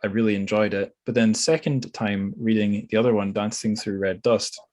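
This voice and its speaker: Male podcast host, slightly low register, casual literary discussion, musing and tentative delivery. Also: fairly even intonation, normal speed, darkish tone.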